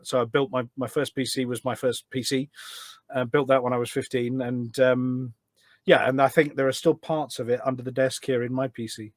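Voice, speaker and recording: A man's voice: mid-range voice, flat delivery, normal speed, no echo, studio quality. A middle-aged British man chatting casually as a podcast guest, rambling and correcting himself as he reminisces. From United Kingdom.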